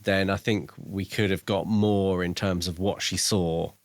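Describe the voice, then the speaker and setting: Lower-voiced man in casual, reflective discussion. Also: fairly even intonation, unhurried, no echo. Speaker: podcast co-host.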